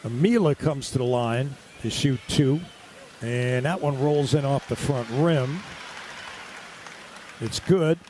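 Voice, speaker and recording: A man's voice: medium pitch, slow pace, long pauses, darkish tone. An American man calling live radio basketball play-by-play in clipped, present-tense phrases. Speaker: play-by-play announcer. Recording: clear crowd and arena background noise.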